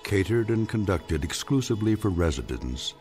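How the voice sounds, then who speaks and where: A man narrating a radio drama in a grave, measured, ominous manner. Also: fairly low pitch, big pitch swings, a little mellow, faint music behind.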